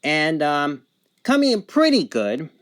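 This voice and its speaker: A man's voice: fairly high pitch, wildly swooping pitch, unhurried. A man talking casually and matter-of-factly through a product demonstration, spelling out letters and numbers carefully. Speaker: product reviewer. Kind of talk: casual radio review demonstration.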